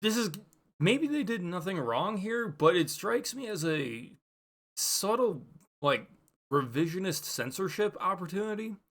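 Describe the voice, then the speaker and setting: A man giving a casual, unscripted book review, thinking aloud in a halting, rambling way and offering hedged opinions. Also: high-pitched, lively intonation, a bit bright.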